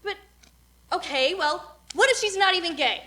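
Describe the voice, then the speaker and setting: Woman acting a nervous, high-voiced lovesick schoolgirl. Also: lively intonation, normal speed, bright, forceful tone, exaggerated voice. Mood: worried, doubtful. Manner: hesitant, stammering, fidgety. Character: nervous, lovesick teenage schoolgirl rehearsing a confession. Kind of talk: solo stage performance of a comic play.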